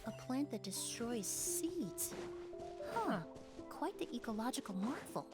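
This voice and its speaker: An actress playing a calm, analytical young woman perfumer in a game, speaking in a composed, measured way. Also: on the high side, over loud music. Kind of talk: game cutscene voice acting.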